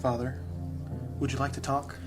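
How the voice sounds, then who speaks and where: Man playing a gentle companion over loud music. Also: mid-range voice, little pitch movement, moderate pace, close to an everyday voice. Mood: consoling. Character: gentle, loyal younger companion of a priest. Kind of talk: fantasy audio drama acting.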